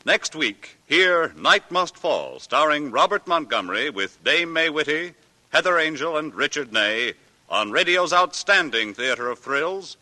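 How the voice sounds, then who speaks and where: A man's voice: fairly high pitch, very animated, a little slow, bright, forceful tone. A man with an American accent reading formal, emphatic scripted announcements as a 1940s radio network announcer. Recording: reverberant vintage radio recording.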